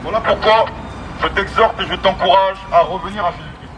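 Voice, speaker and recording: High-pitched male street preacher on a megaphone. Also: a little fast, a crowd in the background. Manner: exhorting, emphatic, repetitive phrasing. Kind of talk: street sermon, open-air evangelism. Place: outdoors on an urban street. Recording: amplified megaphone, loud outdoor street noise.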